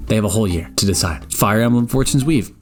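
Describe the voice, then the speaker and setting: A man's voice: fairly low pitch, fast, faint music behind. A nineteen-year-old man giving casual gaming news commentary, rambling with lots of stammered restarts and joking asides. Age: around 19. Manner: animated, joking, exclaims "bro" and "baby".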